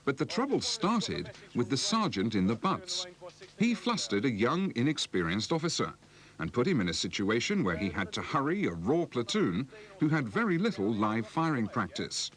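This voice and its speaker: A British man delivering measured, explanatory scripted narration for an instructional film. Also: medium pitch, big pitch swings. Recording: slightly noisy 1980s film soundtrack.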